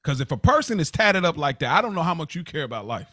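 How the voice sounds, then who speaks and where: A man's voice: fairly high pitch, big pitch swings, fast talker, pushed and bright, no room sound. Man, dry close recording, slangy, opinionated podcast talk, emphatic repetition. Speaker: podcast co-host. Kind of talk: casual opinionated podcast discussion.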